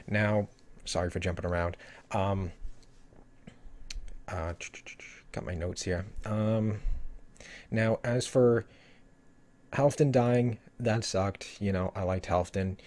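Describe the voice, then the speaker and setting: A man's voice: fairly low pitch, a little mellow. A man talking casually through a show review, rambling with lots of fillers and hedges, and saying he is losing his voice. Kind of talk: casual solo review talk.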